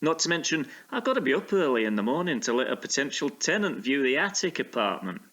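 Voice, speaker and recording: A flustered period landlord, proper and easily exasperated. He blusters along at a middling pitch with very animated intonation, in the style of a ghost-story audio drama. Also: quick pace. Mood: flustered, scolding.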